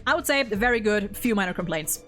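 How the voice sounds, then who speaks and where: A woman's voice: mid-range voice, animated, a little fast, music in the background. A woman chatting casually as host about a video game, emphatic and opinionated, swearing freely. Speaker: host of a game story ranking show.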